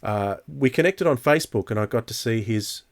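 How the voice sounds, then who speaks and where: Halting man hosting a casual podcast. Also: mid-range voice, lively intonation, creaky. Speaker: podcast host. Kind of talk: casual podcast talk, reading out listener comments.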